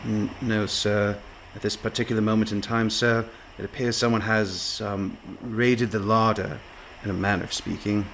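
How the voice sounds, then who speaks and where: An actor playing a nervous, deferential pirate first mate in a radio play, stammering politely and hesitantly. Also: male voice, on the low side, level tone, normal speed, gravelly, a bit bright. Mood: nervous, apologetic. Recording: faint background noise.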